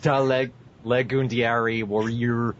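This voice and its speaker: A man's voice: medium pitch, level tone, takes it slowly. A young man joking crudely in casual group banter as a podcast co-host. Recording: dull lo-fi voice chat.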